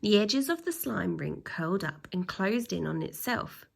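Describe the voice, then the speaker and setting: A woman reading a children's story aloud like a teacher, with expressive, drawn-out emphasis and acted sound effects. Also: mid-range voice, creaky.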